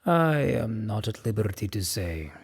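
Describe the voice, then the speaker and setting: Male actor as a suspicious desert king, husky, widely swinging intonation, stately, regal, radio drama. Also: lowish voice, sing-song, a little slow, heavily acted. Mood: cool, commanding. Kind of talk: fan-made radio drama acting. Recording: long hall reverb.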